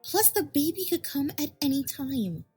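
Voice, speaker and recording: A woman playing a gentle, affectionate pony wife in an acted audio fan fiction. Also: high voice, big pitch swings, moderate pace, faint music behind. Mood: gentle, practical. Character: gentle, caring pregnant female pony wife.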